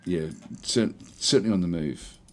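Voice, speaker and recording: A man with a croaky voice casually talking through a strategy game, thinking aloud with many fillers and restarts. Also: deep voice, moderate pace. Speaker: gameplay commentator.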